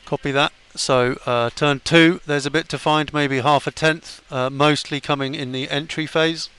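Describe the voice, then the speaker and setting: A man debriefing a driver over team radio in a calm, technical way. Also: on the high side, normal speed, clean tone. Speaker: race engineer.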